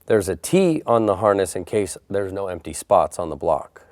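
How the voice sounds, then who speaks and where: A man's voice: sits low, animated, moderate pace, a little mellow, dry recording, clean studio sound. A man presenting a how-to installation tutorial, explaining each step in a plain, methodical way. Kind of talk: step-by-step instructional narration.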